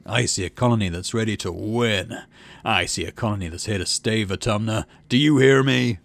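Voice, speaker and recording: A man's voice: on the low side, normal speed, creaky, dry recording. A man voicing a bombastic young colony governor giving rousing, declamatory speeches in a video game. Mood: rousing, fervent. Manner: declamatory, rousing political oratory.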